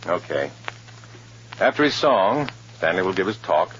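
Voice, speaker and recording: An actor playing a cool, scheming undercover agent in a 1950s radio drama, speaking in a clipped, smooth, purposeful way. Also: male voice, low voice, sing-song, measured pace, slight background noise, exaggerated voice. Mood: brisk, wry. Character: cool, scheming male undercover agent posing as communist organizer. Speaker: lead actor and first-person narrator. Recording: dull old radio recording, loud music behind.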